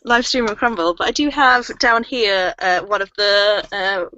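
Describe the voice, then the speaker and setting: A woman's voice: mid-range voice, moderate pace, sharp tone. A woman talking playfully and informally about books on a live panel. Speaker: book reviewer, live show panelist. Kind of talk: casual book discussion chat. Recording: dull lo-fi video-call audio.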